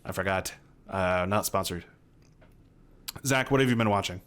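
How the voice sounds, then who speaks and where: A man's voice: deep voice, moderate pace, crowd noise. A man in his thirties co-hosting a podcast from home, trading casual, teasing comedic banter. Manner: joking, teasing. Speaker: podcast co-host. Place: small home room, video call.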